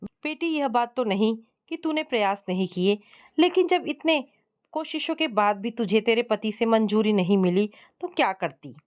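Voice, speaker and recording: Female narrator as traditional mother, concerned, gently reasoning, domestic storybook. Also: fairly high pitch, lively intonation, moderate pace, lightly acted. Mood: gently worried. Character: old-fashioned worried mother, gently reasoning with daughter.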